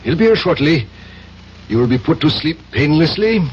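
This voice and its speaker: Menacing Soviet agent, methodical and commanding, radio drama sound with muffled tone and faint noise. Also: male voice, a little high, big pitch swings. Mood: coldly menacing. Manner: menacing, deliberate, authoritative. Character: cold, methodical Russian secret police villain. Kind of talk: 1970s radio drama acting. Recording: muffled, noisy old radio recording.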